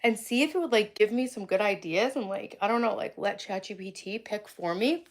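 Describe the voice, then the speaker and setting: A woman in her forties from Long Island, chatting on a live stream outdoors under a tree. She talks in a rambling way with lots of "like", has vocal fry, and sounds sniffly from a cold. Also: medium pitch, fairly quick. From Long Island, New York. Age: 40s. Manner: rambling, heavy use of "like". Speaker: livestream vlogger. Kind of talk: casual live stream chat with viewers.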